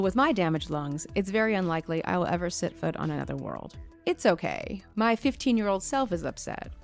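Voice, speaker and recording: A woman's voice: lowish voice, gravelly. A woman playing a wry, confident space company executive in her fifties, chatting in an audio drama log entry, with music underneath. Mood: content, curious. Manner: chatty, wry, dryly teasing.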